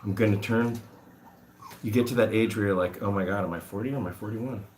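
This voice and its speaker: A man's voice: on the low side, quick pace, a little mellow, slight background noise. A man in his forties chatting casually to live-stream viewers at home, rambling and self-amused while he does chores around his guinea pigs. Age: 40s. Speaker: animal rescuer streaming to viewers.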